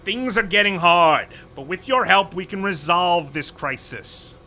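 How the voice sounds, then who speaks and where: A man's voice: high voice, expressive, unhurried, sharp tone, faint music behind, full character voice. A man playing a pompous, exasperated corporate vice president in an audio drama, speaking in a formal, condescending, officious way. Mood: urgent pleading.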